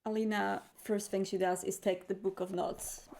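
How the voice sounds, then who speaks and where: A woman's voice: medium pitch, little pitch movement, moderate pace, creaky, dark tone. A woman playing a tabletop roleplay game, casually describing what her character does.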